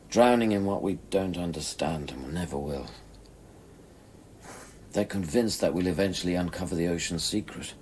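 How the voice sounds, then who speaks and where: A man acting a troubled, weary scientist in intimate radio drama dialogue, terse and halting. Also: low-pitched, a little mellow, natural voice. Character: troubled, weary male scientist, evasive with his lover. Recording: clearly audible background noise and ambience.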